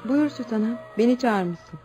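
A woman's voice: a little high, expressive, unhurried, warm tone, faint music behind. An actress playing a defiant, resolute young village woman orphaned and grieving, in an earnest, declamatory radio-theatre style. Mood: respectful.

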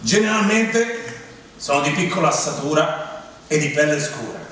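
Very reverberant room, faint noise, man with a slightly high voice, formal stage reading of a document. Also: sing-song, unhurried, fairly bright tone. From Rome, Italy. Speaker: monologue performer. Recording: very echoey, distant, faint noise.

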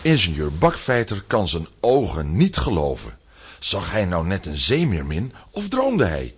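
Male narrator, lowish husky voice, hugely varied pitch, dramatic scripted storytelling. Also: wildly swooping pitch, unhurried.

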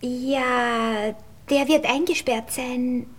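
An actress playing a demure young housemaid with a Viennese accent, deferential and matter-of-fact, in a radio play. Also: female voice, sits high, fairly even intonation, extremely slow, exaggerated voice.

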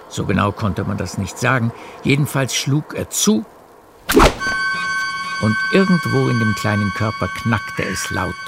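A man narrating a literary radio play in a measured storytelling manner. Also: fairly low pitch, vocal fry, background noise. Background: music.

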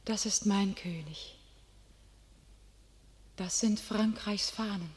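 A devout young warrior maiden in a classic radio play. She speaks solemn, declamatory verse, slow and deliberate. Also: medium pitch, darkish tone, husky. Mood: dying rapture.

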